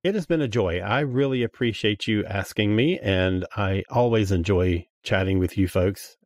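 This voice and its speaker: A man's voice: fairly low pitch, normal speed, darkish tone, no echo, clean studio sound. A man chatting casually as a podcast guest, informal and self-deprecating. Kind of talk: casual chat.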